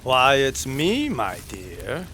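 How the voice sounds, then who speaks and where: A creepy, drawling western villain. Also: male voice, mid-range voice, huge pitch swings, bright, forceful tone, a little hiss or hum, exaggerated voice. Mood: oily, menacing. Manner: slow, drawn-out, cloying, theatrical. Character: creepy, possessive older male saloon regular stalking a woman. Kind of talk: old-time western radio drama acting.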